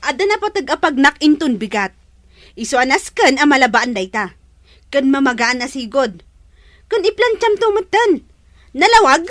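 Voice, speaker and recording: A woman's voice: sits high, very animated, moderate pace, pauses often, a bit bright, no echo, studio quality. A woman playing a spoiled young sister-in-law in a radio drama, haughty, mean and demanding. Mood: bossy. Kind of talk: serial radio drama acting in Ilocano.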